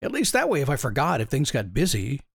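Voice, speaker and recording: Male motivational host, raspy, upbeat and lively, chatty, dry close mic. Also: mid-range voice, quick pace, no echo. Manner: chatty, keeps asking the listener questions. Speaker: motivational podcast host and coach. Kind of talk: motivational monologue.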